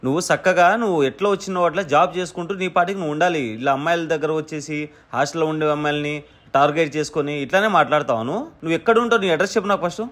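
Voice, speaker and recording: Fast scolding man, fairly high voice. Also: fairly bright tone. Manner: scolding, threatening, repeats demands. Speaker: prank-call host posing as the woman's brother. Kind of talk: confrontational phone argument.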